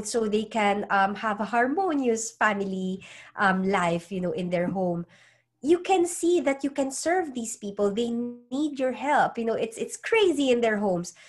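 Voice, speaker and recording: A woman for whom English is a second language, a psychologist and author coach giving advice as an interview guest, earnest and often repeating words as she finds her phrasing. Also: fairly high pitch. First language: not English.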